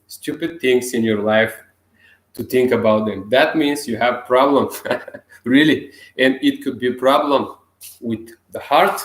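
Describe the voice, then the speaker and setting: A young man from Bulgaria with a Bulgarian accent, talking casually to camera in halting English with many restarts and chuckles. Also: mid-range voice, echoey room. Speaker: self-healing vlogger.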